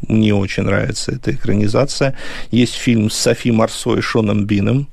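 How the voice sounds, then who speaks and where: Gravelly low-voiced man on radio. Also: steady tone, normal speed, darkish tone, no echo. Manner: thoughtful, slightly hesitant. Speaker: radio show guest host. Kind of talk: radio talk.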